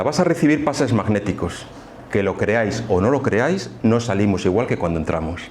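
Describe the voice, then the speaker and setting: A man with an accent from Spain gives an informal lecture, speaking conversationally and haltingly, often repeating words and adding rhetorical tags. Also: medium pitch, darkish tone. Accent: Spanish, from Spain. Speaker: lecturer at a spiritist association. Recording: live talk with faint background room noise.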